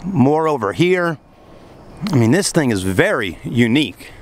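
A man's voice: medium pitch, very animated, measured pace. Man, 30s, enthusiastic, rambling, close handheld camera, outdoors. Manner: enthusiastic, rambling, lots of fillers. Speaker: car dealership vlogger. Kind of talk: casual walkaround vlog. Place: outdoors in a parking lot and an open car. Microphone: camera held close.

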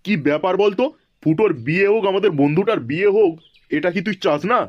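A man's voice: sits high, fast talker, close to an everyday voice. A man voicing a bossy, teasing young villager in a comedy cartoon, talking bluntly and coarsely among friends. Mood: suspicious. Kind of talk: animated comedy cartoon dialogue. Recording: dull lo-fi audio, faint noise.

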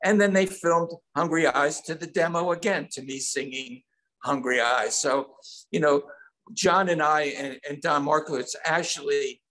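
A man's voice: on the high side, steady tone, unhurried, sharp tone, low-quality recording. Older man telling stories casually. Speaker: songwriter guest. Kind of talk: casual interview storytelling. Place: indoor room at home. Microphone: video call.

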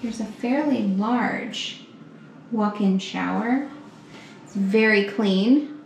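A young woman giving an upbeat, slow walkthrough of a hotel suite, pointing things out as she goes, recorded on a handheld camera. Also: mid-range voice, gravelly, slight background noise. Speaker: vlogger giving a room tour. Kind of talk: casual walkthrough narration. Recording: echoey rooms with faint ambience.

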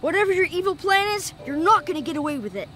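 A woman voicing a bold, earnest young boy superhero who commands his team in a children's animated game. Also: very high voice, fast, heavily acted. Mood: rallying. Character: heroic young boy leader in a cat super suit. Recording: music behind the voice. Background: music.

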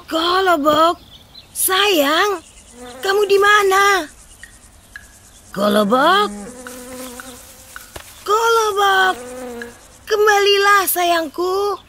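A woman's voice: very high-pitched, extremely slow, pauses often, pushed and bright, slight background noise, heavily acted. A woman playing an old peasant grandmother in a cartoon, exclaiming and calling out in a sing-song way. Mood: anxious calling.

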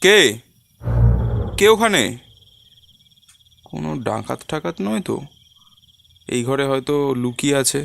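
A man's voice: mid-range voice, sing-song, slow, gravelly, long pauses, fairly bright tone, full character voice. A man playing a frightened lodger who tells his own ghost story in a dramatic horror cartoon style. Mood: uneasy. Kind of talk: dramatised first-person horror cartoon narration. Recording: music under the voice.

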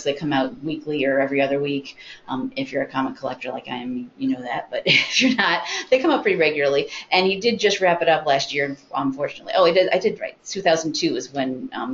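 An American woman hosting an informal talk about books, chatty and explanatory, given to small digressions. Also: low voice. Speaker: webinar host, library consultant. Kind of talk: informal book talk to colleagues. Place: meeting room with colleagues around a table. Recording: dull, noisy conference room audio.

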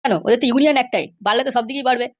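A man voicing a cheeky, nagging middle-aged father in a comic cartoon, brash and mocking, with a pitched-up voice. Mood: grumbling. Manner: brash, mocking, fast-talking.

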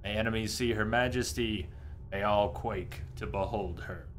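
A man's voice: on the low side, normal speed, soft background music. A man in his thirties giving casual live gameplay commentary, chatting with his viewers in a conversational way. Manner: conversational, reacting to chat. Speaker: game streamer. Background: game sounds.

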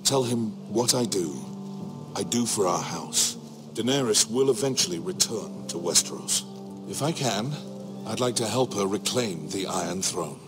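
An actor playing an earnest, proud middle-aged uncle of noble house in a fantasy game, solemn, sincere and measured. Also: male voice, lowish voice, animated, over music. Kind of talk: dramatic fantasy game acting.

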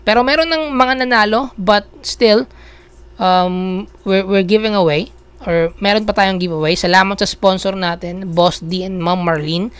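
A Filipino woman talks through an explainer video in a smooth, clear voice. Her pitch sits in the middle range. She keeps it casual, checking in with the listener and restating her points for emphasis. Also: moderate pace. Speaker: video host. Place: small indoor room at a computer.

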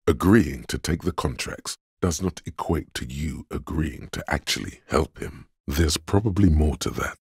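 An extremely low, creaky voice of pure logic, narrating inside a detective's head in a video game. It is husky and deliberate, coolly deductive and a little condescending. The sound is close and dry. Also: male voice, dry recording, heavily acted. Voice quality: gravelly, husky. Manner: measured, deliberate, dryly analytical. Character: detective's inner voice of logic, cool, cerebral, condescending. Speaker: voice actor.

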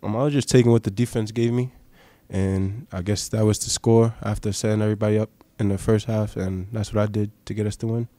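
A man's voice: lowish voice, steady tone, quick pace, few pauses, warm tone, dry recording. A young man, a college basketball player, giving short, matter-of-fact answers at a postgame press conference. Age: young adult. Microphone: table mic.

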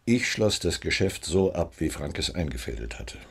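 An actor playing a guilt-ridden, weary wealthy businessman in a radio crime drama, speaking in a measured, formal, guarded way. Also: low voice, big pitch swings, gravelly, fairly bright tone, breathy edge, full character voice. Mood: resigned.

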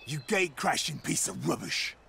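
An actor playing a huge, crude, menacing brawler in a video game, with a gravelly drawl. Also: male voice, on the high side, lively intonation, normal speed, sharp tone, a little hiss or hum, exaggerated voice. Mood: gruff anger. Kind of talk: video game cutscene acting.

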